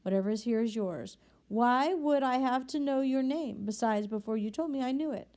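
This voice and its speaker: A woman reading aloud and voicing a gentle, solemn, hospitable elderly bishop. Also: fairly high pitch, normal speed, natural voice. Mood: solemn, tender.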